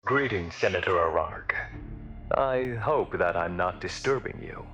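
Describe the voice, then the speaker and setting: A stern veteran admiral from a sci-fi game, with a low and slightly husky voice. He speaks formally and with measured authority, proud, honorable and quietly menacing, over faint music. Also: lively intonation, dull audio, heavily acted. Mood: dry, polite. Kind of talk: video game cutscene acting.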